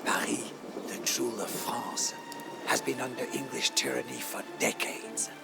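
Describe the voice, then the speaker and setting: A man playing a weary, devoted French knight who chronicles a medieval war in a solemn, theatrical, gravelly voice, as dramatic game narration. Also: medium pitch, big pitch swings, a little slow, exaggerated voice. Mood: wary, urgent. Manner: solemn, theatrical, with hushed phrase endings. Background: prominent music.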